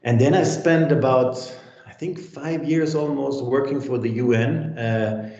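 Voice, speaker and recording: A man's voice: medium pitch, steady tone, a little slow, mellow, reverberant, dull audio. A man in his forties from Denmark, a senior lecturer answering interview questions in a reflective way with many restarts.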